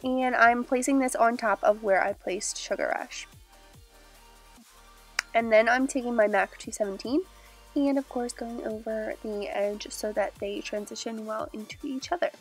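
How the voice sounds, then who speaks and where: A woman's voice: on the high side, steady tone, normal speed, faint music behind. A young woman with a stuffy, nasal voice from a cold, casually talking through a makeup tutorial step by step. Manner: chatty, upbeat, describing each step. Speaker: beauty vlogger. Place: small indoor room with glitter backdrop.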